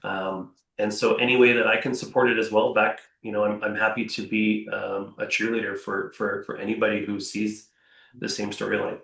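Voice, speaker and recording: Male founder, emphatic and fast to repeat himself, lowish voice, roomy sound. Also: creaky. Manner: energetic, emphatic, repeats words. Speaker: robotics company founder. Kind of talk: panel discussion answer. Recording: echoey room, off-mic.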